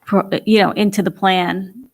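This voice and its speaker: A woman's voice: mid-range voice, flat delivery, unhurried, gravelly. A woman on a town board asking questions at a public meeting in a hesitant, inquisitive way with many fillers.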